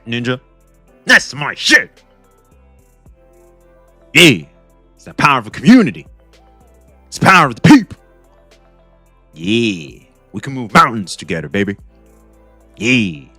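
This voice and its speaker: A young man hosting a casual reaction stream, talking back to a video with excited, repetitive commentary. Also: medium pitch, unhurried, long pauses, dry recording, faint music behind. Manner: excitable, talks back to the video, repeats himself.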